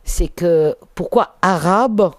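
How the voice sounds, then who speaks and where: A middle-aged woman, Finnish her first language, speaking as an interview guest, reflective and halting with many fillers. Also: fairly low pitch, no room sound. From England. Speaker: interview guest, documentary filmmaker.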